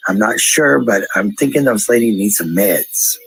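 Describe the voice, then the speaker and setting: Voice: mid-range voice, lively intonation, normal speed, gravelly, husky, dry recording, poor audio. A person ranting casually in a blunt, coarse, profane way, heard on a played-back voice clip.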